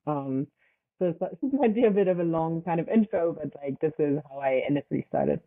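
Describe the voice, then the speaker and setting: A woman's voice: low voice, expressive. Young woman on a tinny, phone-like line. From Switzerland. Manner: loose and halting, heavy on fillers like "kind of" and "like". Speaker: guest, startup founder. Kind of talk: casual interview answers.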